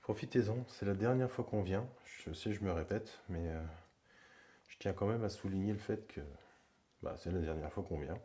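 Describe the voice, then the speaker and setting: A middle-aged man hosting a paranormal exploration, talking in a low murmur with joking asides. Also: normal speed, mellow. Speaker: paranormal investigator host. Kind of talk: hushed on-location vlog narration. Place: dark abandoned house at night. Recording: faint background noise.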